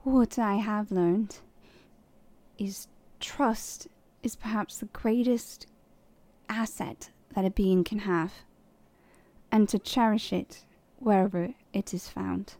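A woman voicing a grave, vengeful witch in a formal, measured fantasy character monologue. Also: mid-range voice, fairly even intonation, darkish tone. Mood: solemn. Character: solemn, vengeful witch bound by prophecy.

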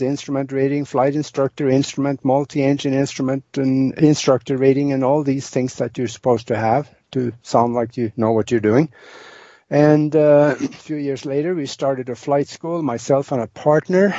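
A man's voice: mid-range voice, dark tone, dry recording. An older man from Norway with a Norwegian accent, telling his life story as an interview guest, rambling with many ums and uhs. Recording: dull lo-fi sound.

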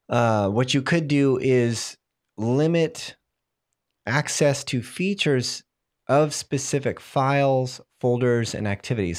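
A man hosting a solo tech podcast, explaining software settings while fighting a cold, clearing his throat now and then. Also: medium pitch. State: has a cold, voice strained. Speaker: podcast host.

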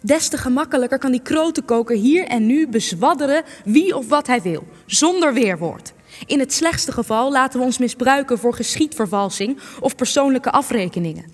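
An actress in a Dutch radio play, playing a sharp, cynical researcher who is sardonic, wordy and needling. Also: female voice, sits high, expressive, moderate pace. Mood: scornful, skeptical. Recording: long reverb, music underneath.

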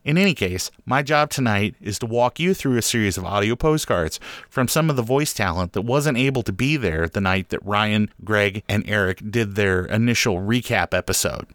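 Male interviewer, fairly low pitch, dry recording, casual mock-formal hosting. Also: animated, normal speed, a bit bright, no echo. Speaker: podcast host introducing interviews.

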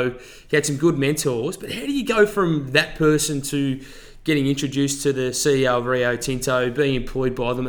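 A man hosting a podcast, asking the guest probing, conversational questions. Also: medium pitch. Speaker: podcast host. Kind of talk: interview questions.

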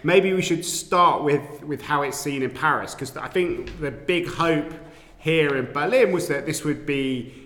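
A man's voice: on the high side, a little slow, fairly bright tone. A native English-speaking man moderating a political panel discussion, with hesitant phrasing and repeated words. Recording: echoey, reverberant hall.

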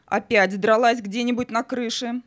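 A woman's voice: fairly high pitch, fairly even intonation, normal speed, no echo, studio quality, lightly acted. A woman playing the imperious, mocking spirit of Night in a children's audio play, grand and menacing in manner.

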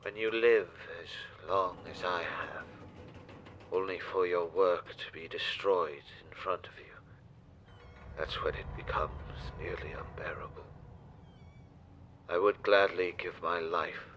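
Solemn, deep-voiced old shipbuilder. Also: male voice, steady tone, lo-fi sound, noisy background. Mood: grave, weary. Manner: solemn, formal, measured phrasing. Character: grave old ship's builder, devoted and weary. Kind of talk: audio drama acting.

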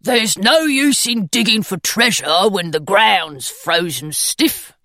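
A woman's voice: medium pitch, expressive, slow pace, fairly bright tone, dry recording. A woman voicing a gloomy, teasing male gargoyle in a storybook reading, with dry grumbling asides.

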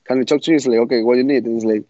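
Young man, blunt storyteller who keeps restarting; dry, dark sound. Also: medium pitch, fairly even intonation, very fast, no room sound, dull audio. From Ecuador. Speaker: guest fighter. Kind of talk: interview answers.

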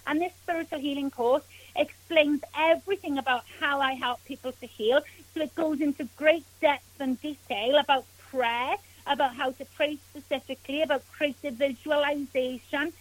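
A woman's voice: high-pitched, fairly even intonation, moderate pace, rough recording. A woman with a Welsh accent, a spiritual healer speaking as a guest on a radio interview, earnest and gushing with thanks.

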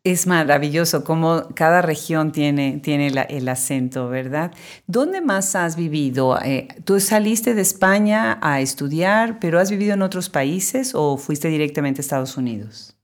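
A woman's voice: low voice, expressive, measured pace. A woman hosting a podcast interview, reading a formal introduction and then chatting in a friendly way. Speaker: podcast host.

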